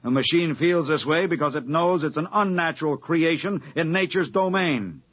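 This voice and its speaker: A dull, lo-fi vintage radio drama recording of a defiant, fatalistic American male spaceship engineer. His voice sits a little high, and he delivers his lines with sardonic, ranting bitterness. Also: lively intonation, moderate pace. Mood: feverish, ranting. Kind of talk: 1950s radio drama acting.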